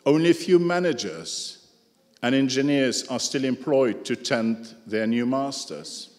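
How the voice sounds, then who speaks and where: A man delivers a prepared lecture in English, which is not his first language. He speaks in a measured way, dropping dry, ironic asides, at a middling pitch. The voice rings with the long reverb of a large hall. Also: a little mellow. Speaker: speaker giving a talk.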